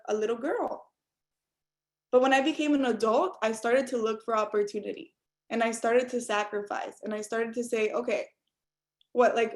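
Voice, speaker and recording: A young adult woman from Cuba giving an earnest motivational talk on a group call, speaking straight to her listeners. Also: on the high side. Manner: earnest, direct, addresses listeners as you all. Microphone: call audio.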